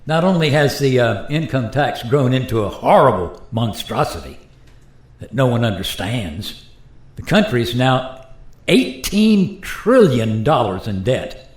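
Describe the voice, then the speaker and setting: A man plays a resigned, embarrassed modern everyman in a radio play. His mid-pitched voice explains hesitantly, with lively swings in intonation and a clear room echo. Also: measured pace. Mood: dismayed. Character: sheepish modern American man named George, resigned. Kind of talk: amateur radio play acting. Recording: roomy, echoey voice recording.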